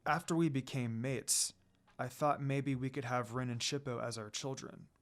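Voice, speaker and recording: A man voicing a solemn, tender demon lord in a fantasy story, speaking softly and in measured, earnest phrases. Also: steady tone, natural voice. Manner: low, soft, measured, earnest. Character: solemn, tender, cool-headed male demon lord in love.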